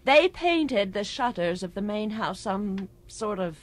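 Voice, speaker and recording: A woman's voice: medium pitch, animated, a little slow, natural voice. An actress playing a haughty, imperious older society woman in a radio drama. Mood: disdainful. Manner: imperious, disdainful.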